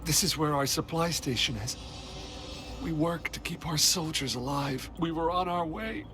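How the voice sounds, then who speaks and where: A man playing a wounded, exhausted, fearful supply soldier in a game cutscene, halting and struggling for breath. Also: fairly high pitch, close to an everyday voice. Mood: shaken, exhausted. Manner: halting, strained. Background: music.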